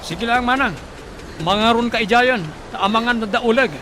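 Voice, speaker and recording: High-voiced anxious man in loud noise. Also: moderate pace. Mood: urgent, protective. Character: anxious, protective man guiding companions through landslide. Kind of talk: radio drama acting. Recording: loud outdoor storm-like noise.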